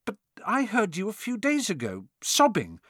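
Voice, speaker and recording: A man's voice: high voice, huge pitch swings, normal speed, a bit bright, no room sound, studio quality, pitched above the performer's own voice, exaggerated voice. A man voicing a young boy hero in an audiobook, earnest, incredulous and blurting out his words. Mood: urgent, questioning. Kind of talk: audiobook character acting.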